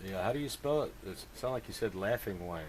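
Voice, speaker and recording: A low-pitched male voice talks over two-way radio with clear noise around it. He is an amateur operator in casual technical conversation, musing and working things out aloud. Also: lively intonation, a little fast.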